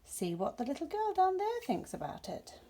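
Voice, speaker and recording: A woman voicing a brisk, caring mother barn owl in a storybook. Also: sits high, huge pitch swings, background noise, pitched above the performer's own voice. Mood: brisk, encouraging.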